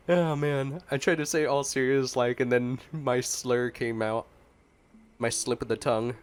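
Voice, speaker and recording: A man's voice: medium pitch, fairly even intonation, slow, long pauses, smooth. A man giving casual, chatty, playful reaction commentary as a gaming streamer. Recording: game music behind the voice. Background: game music.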